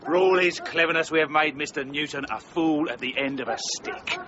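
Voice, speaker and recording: An actor playing a scheming, boastful master counterfeiter in a period radio drama, gloating and swaggering. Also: male voice, a little high, fairly quick, dull audio, noisy background, lightly acted.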